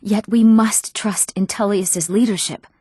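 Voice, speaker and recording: Young fantasy game heroine, eager, earnest, clearly enunciated, medium pitch, close dry sound. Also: female voice, fairly even intonation, unhurried, a little mellow, no echo. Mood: formal, resolute. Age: young adult. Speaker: video game voice actor. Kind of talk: scripted fantasy game dialogue.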